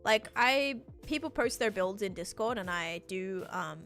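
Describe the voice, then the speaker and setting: A woman's voice: medium pitch, animated, unhurried, fairly bright tone. A young woman, a little congested, chatting casually on a live stream and reading chat aloud in a rambling way. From Australia. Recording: music playing underneath. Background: background music.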